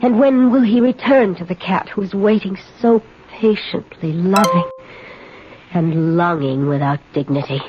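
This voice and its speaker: A woman's voice: on the low side, a little slow, lightly acted. An actress playing a bitter, jealous, imperious woman in a 1970s radio drama, with a theatrical, commanding, brooding delivery. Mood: brooding, disgusted. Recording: dull, noisy old radio broadcast.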